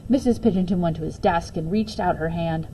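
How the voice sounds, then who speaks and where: A woman reading a children's storybook aloud with expressive storytelling, as a teacher would. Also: lowish voice, fairly quick, a little hiss or hum, low-quality recording.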